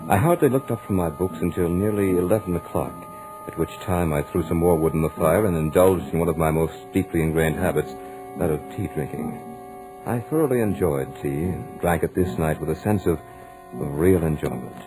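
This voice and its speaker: Male actor as studious young gentleman, low voice, measured literate narration, self-assured, vintage radio drama, faint music. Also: natural voice, low-quality recording. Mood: composed, amused.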